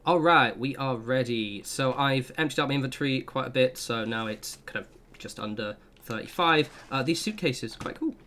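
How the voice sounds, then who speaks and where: A man's voice: mid-range voice, moderate pace, pushed and bright, a little hiss or hum. A young man giving a casual, rambling gameplay guide with self-deprecating asides. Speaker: gaming channel host.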